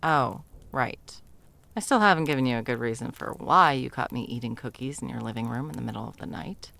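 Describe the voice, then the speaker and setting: A woman's voice: extremely low pitch, fairly quick, gravelly, a bit bright, dry recording, full character voice. A woman playing a bumbling, flustered female Christmas elf in a whimsical comedic audio roleplay, evasive and sarcastic, rambling and backtracking as she goes.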